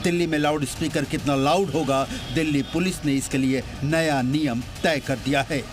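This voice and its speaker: A man's voice: fairly high pitch, fairly even intonation, moderate pace, background noise. A man delivering an emphatic, scripted television news report as a reporter. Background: music bed.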